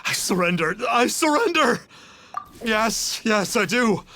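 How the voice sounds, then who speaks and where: An actor playing a pompous, cowardly charlatan who poses as an immortal, with grand theatrical speech, in a video game. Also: male voice, high voice, very animated, slow pace, sharp tone, slight background noise, heavily acted. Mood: panicked, pleading.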